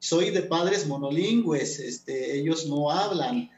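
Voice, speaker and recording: A man's voice: fairly high pitch, expressive, slow, over a noisy crowd. A man from Mexico whose first language is Totonac, a poet speaking earnestly and hesitantly as a guest in a remote interview. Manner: earnest, hesitant, many fillers. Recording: echoey lo-fi remote audio.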